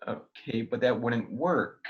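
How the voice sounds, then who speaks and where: A man playing a bewildered, exasperated young research assistant in an audio drama, incredulous and halting, on a lo-fi recording. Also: medium pitch, close to an everyday voice. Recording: dull lo-fi sound.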